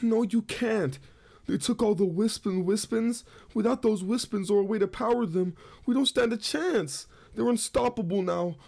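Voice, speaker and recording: A man's voice: high-pitched, normal speed, vocal fry, a little mellow. A man voicing a frightened, timid dog guard, nervous and hesitant, in a comic dub. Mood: scared. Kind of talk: amateur comic dub acting. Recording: music behind the voice.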